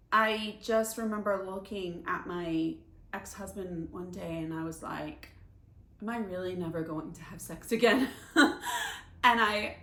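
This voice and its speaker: A woman in her forties from Iran, telling candid personal stories in a halting, confiding way with many restarts. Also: medium pitch, a bit bright, roomy sound. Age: 40s. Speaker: vlogger.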